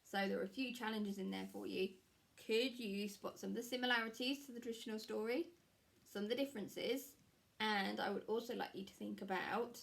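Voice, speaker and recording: A woman in her thirties, a primary teacher reading a story aloud to children in a measured, explanatory way, recorded in a small room. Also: mid-range voice, creaky, poor audio. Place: small room at home, indoors.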